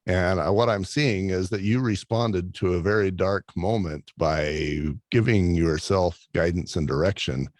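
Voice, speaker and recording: A man's voice: deep voice, measured pace, hardly any pauses, a little mellow, dry recording, clean studio sound. A man hosting an interview, reflective and encouraging toward his guest. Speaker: podcast host.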